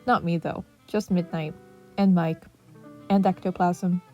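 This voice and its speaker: A woman's voice: lowish voice, fairly even intonation, unhurried, a little mellow, lo-fi sound, lightly acted. A woman voicing a dry, matter-of-fact adult male teacher in a story. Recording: music playing under the voice.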